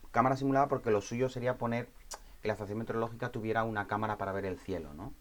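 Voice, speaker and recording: A man presenting an informal step-by-step software tutorial in a conversational way, often checking understanding with short tag questions. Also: fairly low pitch, steady tone, very fast, a little hiss or hum.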